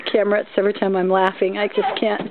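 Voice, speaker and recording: A woman's voice: mid-range voice, completely flat delivery, very fast. A young woman behind the camera, teasing her friends and laughing often as she films them in a barn. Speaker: person filming friends. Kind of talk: casual chat behind the camera. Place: indoors in a barn. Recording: tinny lo-fi camera audio with crowd noise.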